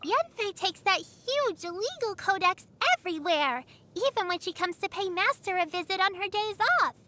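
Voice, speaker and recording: Tiny sweet girl for an anime game, super high bright voice, innocent and chatty, music behind. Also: lively intonation, normal speed, slight background noise, exaggerated voice. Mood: earnest, chatty. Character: sweet earnest little girl, caring and dutiful. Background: background music.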